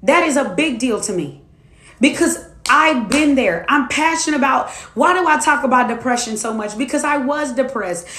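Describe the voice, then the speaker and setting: A woman's voice: sits high, lively intonation, normal speed, a bit bright, echoey room. A woman in her twenties, a minister and life coach, preaching her testimony to viewers in an emphatic, repetitive cadence. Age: 20s.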